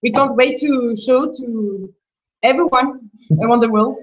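A woman's voice: a little high, a little slow, muffled recording. A young French woman with a French accent, a producer chatting in a friendly, upbeat way during a live webcam Q&A. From France. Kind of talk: casual live Q&A. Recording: echoey room.

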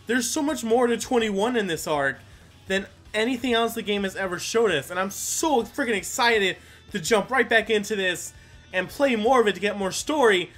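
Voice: medium pitch, moderate pace, fairly bright tone, soft background music. An enthusiastic, chatty gaming YouTuber, giving casual let's play commentary.

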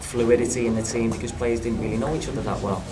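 A man speaking as a football manager in a post-match press interview, frank and halting with many restarts. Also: mid-range voice, fairly even intonation, recorded from a distance, very noisy. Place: small clubhouse room with reporters. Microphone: handheld phones and recorders held up by reporters.

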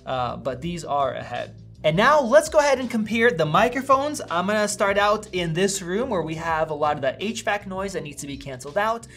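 A man's voice: high-pitched, big pitch swings, normal speed, hardly any pauses, fairly bright tone. Upbeat man reviewing headphones, faint music. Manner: upbeat, conversational, thinking aloud while testing. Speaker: tech reviewer. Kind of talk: casual product review. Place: small indoor studio room with a vent running.

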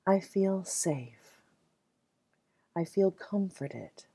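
A woman leading a guided meditation in a soothing, gentle, measured way, leaving long pauses between phrases. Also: mid-range voice, expressive, breathy edge, dry recording.

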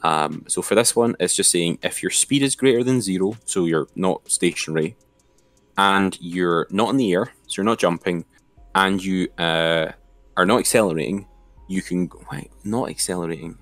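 A man's voice: low voice, normal speed, fairly bright tone, dry recording, soft background music. A man giving an informal, step-by-step screen-share tutorial as an instructor.

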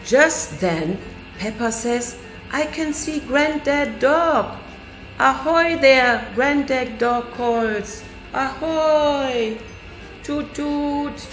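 A woman's voice: high-pitched, drawn-out words, reverberant, faint music behind, rough recording. A middle-aged woman, a nursery teacher, reading a picture book aloud to children and raising her voice for the characters. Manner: lively storybook reading with raised voices for characters. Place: classroom indoors.

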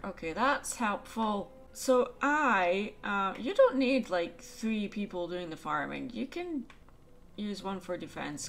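A young woman chatting casually and thinking aloud while playing a game. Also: lowish voice. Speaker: let's play host. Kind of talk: casual gameplay commentary.

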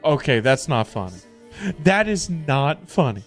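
A man's voice: medium pitch, very animated, a little slow. A middle-aged American man hosting a casual gaming livestream, rambling and repeating himself in loose slang. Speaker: livestream host, gamer. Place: indoor home streaming setup. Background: background music.

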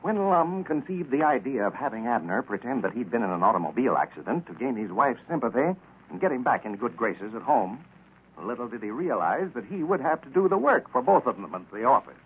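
Old radio announcer guy, lively storytelling, husky, tinny vintage recording. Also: mid-range voice, brisk. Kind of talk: 1940s radio scripted narration.

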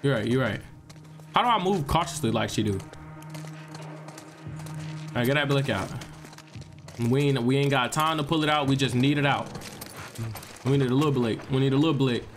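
A young man with a stuffed-up nose giving animated, joking gameplay commentary to his viewers. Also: mid-range voice, moderate pace, long pauses, soft background music. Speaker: gaming streamer.

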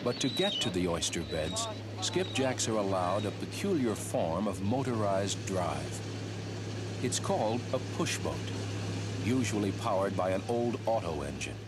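A man narrating a documentary in a measured, scripted delivery. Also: lowish voice, very animated, loud music behind the voice.

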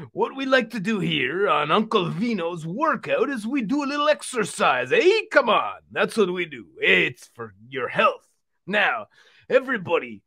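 Man as excitable, friendly male puppet workout host for a kids' show; animated pitch, bright delivery. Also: exaggerated voice. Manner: exclamatory, repetitive, addresses the audience.

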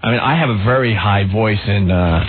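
A man's voice: on the low side, normal speed, background noise. A man in his thirties hosting a radio show, joking easily with his co-host and plugging the station. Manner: easygoing, jokey, plugs the station. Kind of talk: casual radio banter. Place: radio studio. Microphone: studio mic on a boom. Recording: tinny lo-fi radio broadcast with faint music.

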